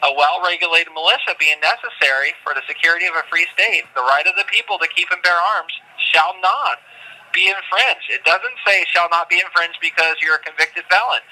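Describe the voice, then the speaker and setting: A man talking earnestly and haltingly from prison over a noisy phone line, repeating words as he makes his case. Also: fairly high pitch, sharp tone. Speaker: prisoner being interviewed by phone. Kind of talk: earnest, unscripted phone interview talk. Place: prison, on a telephone call. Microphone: telephone.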